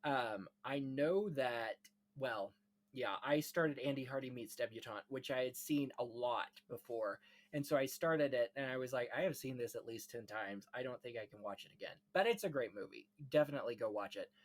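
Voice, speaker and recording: A man's voice: medium pitch, moderate pace. A man hosting a casual film history discussion in an earnest, informative, chatty way. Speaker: podcast host, film history enthusiast.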